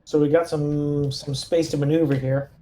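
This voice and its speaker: A man's voice: a little high, little pitch movement, normal speed, mellow. A man playing a tabletop role-playing game, chatting casually and jokily describing what his characters do. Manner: jokey, narrates his characters' moves in third person.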